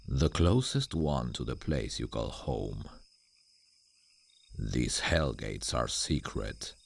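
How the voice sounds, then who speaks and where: A man voicing a suave, sardonic demon guardian in an ASMR roleplay, slow and condescending toward humans, with a very deep gravelly voice. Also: exaggerated voice.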